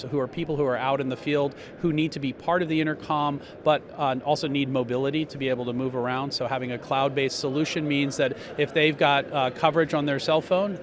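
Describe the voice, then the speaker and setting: Man explaining a product amid loud show-floor noise. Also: a little high. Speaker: company spokesman being interviewed. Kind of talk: trade show product interview. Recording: noisy trade show floor.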